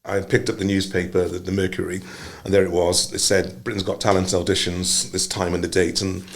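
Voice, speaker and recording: An older man from Wales, a singer telling his story casually, relaxed with many pauses. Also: sits low, vocal fry. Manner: relaxed, rambling, many ums. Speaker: singer, guest.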